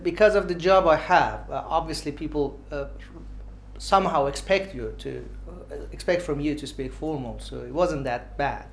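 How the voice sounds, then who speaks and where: A man in his forties with an Iranian accent answering interview questions, pausing often on filler sounds. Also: a little high, gravelly, slight background noise. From Iran. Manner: hesitant, many filled pauses. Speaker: dentist interviewee.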